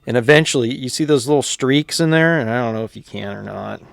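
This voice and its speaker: A man giving a casual, unscripted repair walkthrough, plainspoken and thinking aloud with pauses as he works. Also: mid-range voice, gravelly, no echo. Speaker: hobbyist radio repairer presenting his channel.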